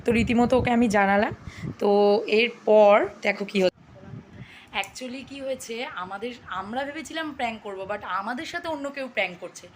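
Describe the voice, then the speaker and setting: A young woman with a West Bengal accent talks to her vlog viewers in a slightly high voice. She is chatty and casual, dropping in English words. There is faint noise behind her. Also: moderate pace. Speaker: vlogger.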